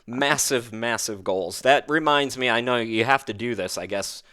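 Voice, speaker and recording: Clear-voiced man, chatty podcast host. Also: mid-range voice, moderate pace, sharp tone. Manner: self-deprecating, rambling. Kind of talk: casual chatty interview.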